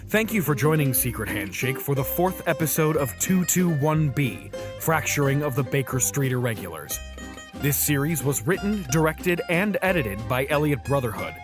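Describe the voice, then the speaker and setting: A man reading scripted end credits as the announcer for an audio production. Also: medium pitch. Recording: guitar music behind. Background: plucked guitar music.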